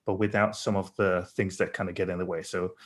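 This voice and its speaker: Middle-aged man, English accent, lowish voice, thoughtful panel talk, lots of fillers. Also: level tone, fairly quick. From Burma, raised in England. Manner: thoughtful, many fillers and restarts. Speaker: panel guest, company founder.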